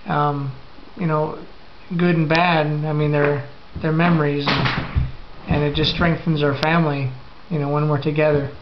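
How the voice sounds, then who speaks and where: Man in his thirties, flat short answers. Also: a little high, a little slow, background noise. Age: 30s. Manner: short answers, laughs between replies. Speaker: soldier parent. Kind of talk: casual interview answers. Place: home room, indoors. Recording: lo-fi home camera audio, slightly distant.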